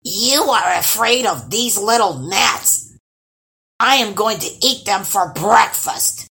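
Fierce elderly she-troll granny, scornful, fuming, pitched up, storybook villain a woman acts it. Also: lively intonation, takes it slowly, vocal fry, bright, forceful tone, full character voice. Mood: scornful, angry. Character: fierce old grandmother troll, scornful and hungry.

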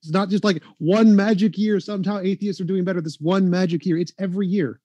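A man in a heated live debate, talking insistently and fast, often cutting in over the other speaker. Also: high-pitched, fairly even intonation, warm tone, no echo, muffled recording. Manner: insistent, rapid-fire, talks over others.

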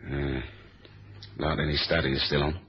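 An actor playing a wry, laconic British secret agent in a 1970s radio spy drama, with a clipped, dry delivery. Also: male voice, extremely low pitch, little pitch movement, normal speed, breathy edge. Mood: wry, low-key. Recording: dull old radio recording.

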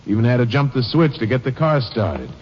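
An actor playing a wary gas station owner under suspicion, in a 1940s radio drama, on a dull old recording with faint hiss and long reverb. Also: male voice, medium pitch, big pitch swings, fairly quick, natural voice. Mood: explaining.

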